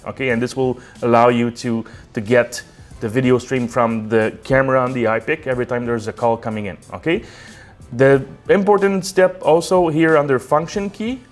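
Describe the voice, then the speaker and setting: A man presenting a step-by-step technical tutorial in an unscripted, conversational way, often checking in as he explains. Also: medium pitch.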